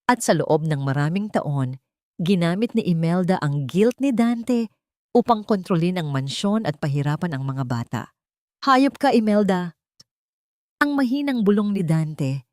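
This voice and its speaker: A woman's voice: low-pitched, darkish tone, dry recording, clean studio sound. A woman with a Filipino accent narrating a dramatic audiobook story from a script.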